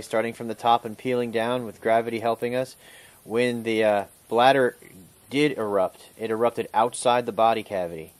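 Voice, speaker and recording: A man calmly instructing a student step by step during an outdoor survival skills demonstration, recorded on a camera mic. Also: medium pitch, slight background noise. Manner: calm, instructive, talks through each step. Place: outdoors in a wooded clearing.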